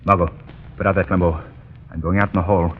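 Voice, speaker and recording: An actor playing a calm, dry-witted gentleman detective in a 1930s radio drama. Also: sits low, fast talker, phone-quality sound, a little hiss or hum, close to an everyday voice. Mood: composed. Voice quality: cool, dry, commanding. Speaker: amateur sleuth leading the others.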